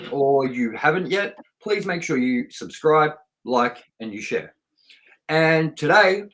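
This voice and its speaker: A man's voice: a little high, fairly bright tone, rough recording. A middle-aged man hosting a film review show, talking in a casual, unscripted, chatty way that rambles through personal anecdotes.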